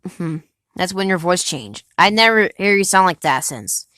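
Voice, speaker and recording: A nineteen-year-old woman asking a steady run of quick, curious follow-up questions in a casual chat at home. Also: fairly low pitch, no room sound, studio quality.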